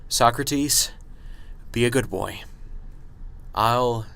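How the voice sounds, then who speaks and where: A man's voice: medium pitch, extremely slow, a bit bright, heavily acted. A man playing a nervous, unsure professor who mutters hesitantly to himself in an amateur radio drama, with noise in the recording. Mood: nervous, muttering. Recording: clearly noisy recording with ambience.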